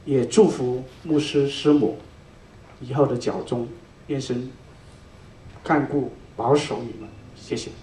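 A man's voice: medium pitch, fairly even intonation, unhurried, pauses often, dark tone, slight background noise. Earnest man speaking in an echoey hall. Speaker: church member giving a testimony. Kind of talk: personal testimony. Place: large church hall indoors. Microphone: handheld mic, picked up from a distance. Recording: echoey hall recorded from far off.

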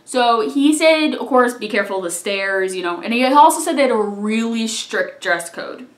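A young adult telling a rambling personal story from a couch in a small living room, recorded by a camera a few feet away, trailing off into quiet asides. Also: medium pitch, lively intonation, normal speed. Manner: chatty, rambling, drops to mumbles and asides. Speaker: vlogger. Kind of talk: casual storytime vlog. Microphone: camera on a tripod a few feet away. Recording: echoey room.

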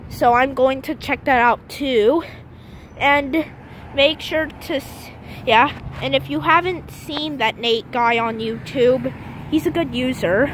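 A woman giving a halting, casual walk-through commentary as an amateur vlogger outdoors, often trailing off, on noisy handheld camera audio. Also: sits high. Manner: halting, trailing off mid-sentence. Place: outdoors in an empty parking lot. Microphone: handheld camera held by the speaker.

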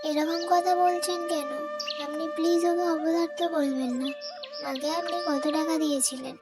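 A woman voicing a gentle, wronged young stepdaughter in a cartoon moral story, earnest and pleading. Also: high-pitched, fairly even intonation, normal speed, music in the background. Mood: hurt.

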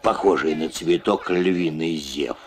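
A man's voice: very deep voice, a little slow, soft background music. A man reading scripted lines as a voice-over for a children's cartoon.

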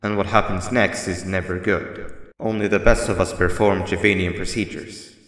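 A guarded creature guide, with a low, steady voice. He gives instructions in a calm, measured way. Everything is bathed in a roomy, lingering reverb. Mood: instructive. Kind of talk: video game voice acting. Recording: echoey reverberant game audio.

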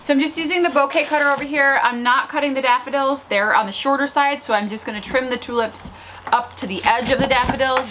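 A woman in her thirties chatting casually to camera as a vlog host, with an expressive, gushing and playful manner. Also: high voice, quick pace, slight background noise, rough recording. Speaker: flower farmer and vlog host. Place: outdoors on a covered house porch.

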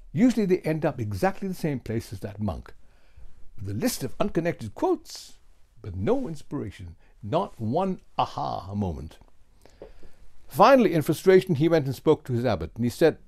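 An older man in his sixties or beyond, giving a lesson as a public speaking coach, with emphatic, theatrical delivery and deliberate pauses. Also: on the high side, darkish tone. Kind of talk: keynote lesson, rhetorical storytelling. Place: small home office with bookshelves.

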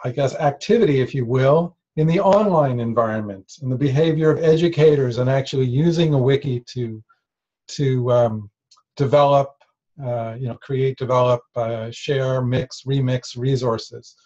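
A middle-aged man introduces himself on a video call, halting and restarting as he goes. His mid-range voice comes through muffled, lo-fi call audio. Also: medium pitch, mellow. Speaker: course participant.

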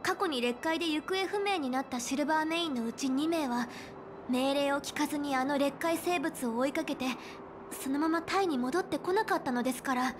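A woman's voice: high-pitched, fairly quick, noisy background, close to an everyday voice. A woman voicing a polite, earnest, studious young female officer in a Japanese anime game, speaking formally and carefully. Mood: cautioning, serious. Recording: clean studio voice over game music.

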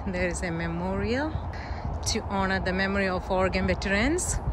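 A woman's voice: mid-range voice, moderate pace, music in the background. A woman in her forties giving a casual guided tour of a riverside bike path as a travel vlogger, chatting to viewers while cycling. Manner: chatty, pointing out sights to viewers. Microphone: phone camera held at arm's length. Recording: loud wind and outdoor noise.

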